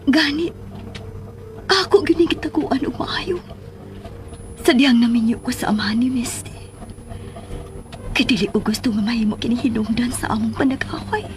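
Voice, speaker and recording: A woman's voice: a little high, moderate pace, long pauses, slight background noise, natural voice. A woman with a Cebuano Filipino accent playing a sentimental married woman recalling an old love in a radio drama. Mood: wistful, tender. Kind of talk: Philippine radio drama monologue and dialogue. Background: music.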